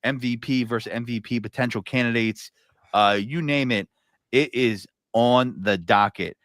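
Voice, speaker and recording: A man hosts a casual sports podcast live stream. He jokes around and talks loosely, full of fillers and quick asides, with a mid-range voice. The sound is close and dry, with no room around it. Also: mid-range voice, a bit bright, no echo. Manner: loose, joking, lots of fillers. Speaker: podcast host.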